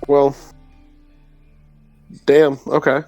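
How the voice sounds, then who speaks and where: A man's voice: medium pitch, completely flat delivery, drawn-out words, warm tone, no room sound, lo-fi sound, faint music behind. A man chatting casually as a player in a tabletop roleplaying game.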